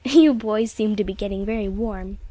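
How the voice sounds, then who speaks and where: A woman's voice: medium pitch, moderate pace, warm tone, no room sound, close to an everyday voice. A woman voicing a polite, dreamy, chatty little English girl with a British accent and a prim manner. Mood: brisk.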